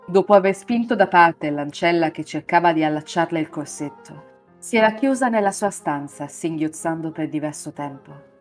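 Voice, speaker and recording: A woman with an Italian accent narrates a scripted story in a live reading. Her voice sits slightly low, over faint music. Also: moderate pace.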